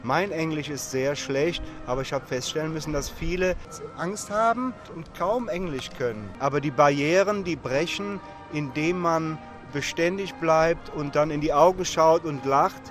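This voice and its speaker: A man's voice: on the high side, moderate pace, fairly bright tone, soft background music. A man being interviewed outdoors at a summer festival, telling stories in a chatty way with frequent fillers. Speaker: interviewee, long-distance walker. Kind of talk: casual storytelling in an interview.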